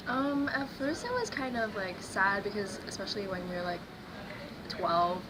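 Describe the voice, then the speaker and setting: A young woman answering interview questions casually, with halting restarts and many "like"s. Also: mid-range voice, lively intonation. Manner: casual, filler-heavy, halting. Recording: loud background noise.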